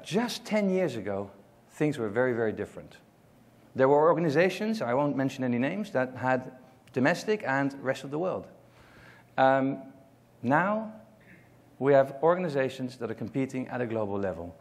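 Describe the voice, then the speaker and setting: A middle-aged man with a Dutch accent speaks from a conference stage in an average-pitched voice. He pokes fun at himself and fills his pauses with ums and uhs. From Netherlands. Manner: self-deprecating, jokey, with frequent fillers. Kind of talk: conference keynote talk.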